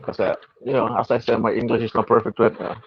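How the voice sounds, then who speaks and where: A soft-spoken man from Mexico City with a Mexican Spanish accent, a native Spanish speaker answering hesitantly with fillers over a video call. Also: mid-range voice, flat delivery, fast talker, slightly rough, no echo. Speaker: guest illustrator. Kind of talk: interview answers. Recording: muffled, dry video call audio.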